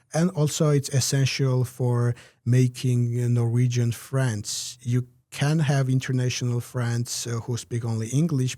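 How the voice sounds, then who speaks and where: A man giving measured, reflective interview answers, pausing often with "uh" between phrases. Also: medium pitch, dark tone, dry recording. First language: Georgian. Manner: measured, many "uh" pauses. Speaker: interview guest, researcher.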